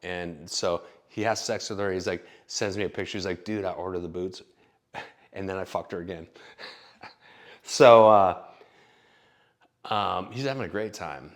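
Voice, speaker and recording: Rambling, low-voiced man, late thirties. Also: unhurried, long pauses. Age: late 30s. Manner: rambling, profane, lots of fillers. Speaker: vlogger. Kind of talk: casual storytelling monologue.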